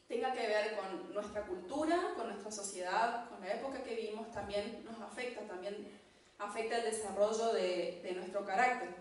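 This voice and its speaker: A woman from Argentina with an Argentine accent, giving a church teaching talk in an unhurried way, with fillers and restarts. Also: medium pitch. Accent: Argentine Spanish. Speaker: church speaker. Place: church hall. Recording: echoey hall, distant mic, faint noise.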